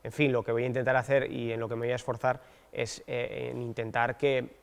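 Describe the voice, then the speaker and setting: A man in his thirties, a political candidate, giving thoughtful, hesitant interview answers with many fillers and restarts on a dark studio set. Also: mid-range voice, level tone, a little fast, creaky, big-room sound. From Basque Country, Spain.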